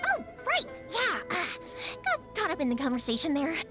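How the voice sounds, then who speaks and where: A woman's voice: very high-pitched, wildly swooping pitch, normal speed, full character voice. A young woman voicing a cheerful, talkative fireworks maker in a game cutscene, bubbly and rambling. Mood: chatty. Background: game music.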